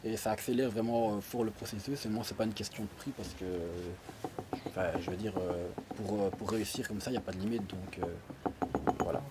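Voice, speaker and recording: A man's voice: on the low side, background noise. Young Belgian man with a Liège accent. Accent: Liège Belgian French. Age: 20s. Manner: hesitant, many pauses and fillers. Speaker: interview guest. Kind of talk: casual interview answers. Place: outdoors by a pool.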